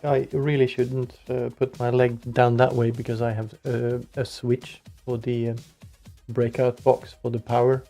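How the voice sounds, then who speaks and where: A man's voice: medium pitch, level tone, moderate pace, gravelly, dark tone, soft background music. A man co-hosting a radio show, talking casually in a laid-back, terse way with dry asides. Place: radio studio.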